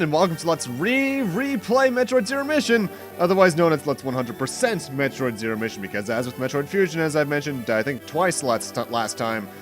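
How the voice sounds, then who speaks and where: Male let's play host, rambling explainer, animated delivery, game music underneath. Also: mid-range voice. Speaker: gameplay host. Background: video game music.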